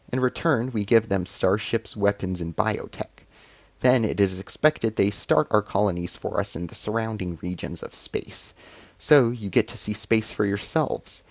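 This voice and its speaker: Guy reading as cold alien conqueror lady, droning and bossy, low gravelly voice, storybook narration. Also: lively intonation, measured pace, dry recording. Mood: commanding. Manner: droning, explanatory, commanding. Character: haughty alien female ambassador, cold conquering threat.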